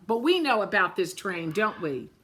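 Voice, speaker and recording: A woman, a teacher, reading a picture book aloud at storytime, gently pointing out the pictures and often clearing her throat. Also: medium pitch, big pitch swings, a little slow, slight background noise. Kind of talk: storytime read-aloud to children.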